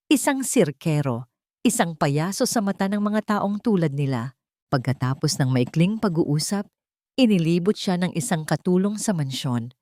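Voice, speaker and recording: A woman narrating a scripted drama story in a measured, somber way. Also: deep voice, darkish tone, dry recording, clean studio sound.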